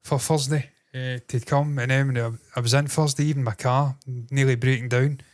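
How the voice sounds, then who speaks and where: A man in his thirties with a nasal Glaswegian accent, co-hosting a casual podcast chat, animated and swearing freely. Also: medium pitch, a little fast. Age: 30s. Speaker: podcast co-host.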